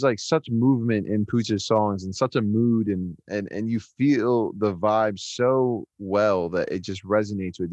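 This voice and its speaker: A man's voice: on the low side, a little mellow, dry recording, lo-fi sound. A man co-hosting a music podcast, chatting casually and enthusiastically with plenty of fillers. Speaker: podcast co-host, songwriter and producer. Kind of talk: casual chat.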